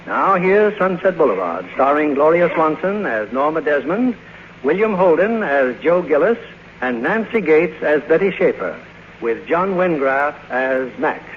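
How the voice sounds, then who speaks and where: Male 1950s radio theater host, formal showman, fairly high pitch, animated intonation. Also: unhurried. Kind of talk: 1950s radio announcing, scripted introduction. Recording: muffled old radio broadcast, faint hiss.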